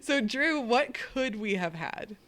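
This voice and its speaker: Chatty woman podcast co-host, swears casually, lively animated pitch. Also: slow. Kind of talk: casual chat.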